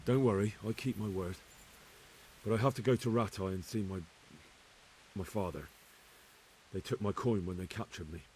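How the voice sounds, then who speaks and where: An actor playing an earnest young man in a video game drama, husky-voiced, plain and measured as he speaks to his betters. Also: lowish voice, a little mellow, slight background noise, natural voice. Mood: wry, weary.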